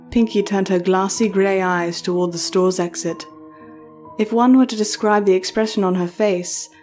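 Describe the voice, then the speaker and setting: A woman's voice: mid-range voice, dark tone. A woman reading scripted horror fiction as a storyteller, in narration.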